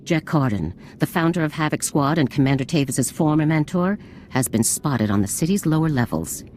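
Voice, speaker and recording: Stern army general, very deep voice, clipped commands, faint hum behind. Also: female voice, animated, a little mellow. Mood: commanding. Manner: brisk, authoritative, scornful of bureaucrats. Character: stern female military general, commanding and impatient.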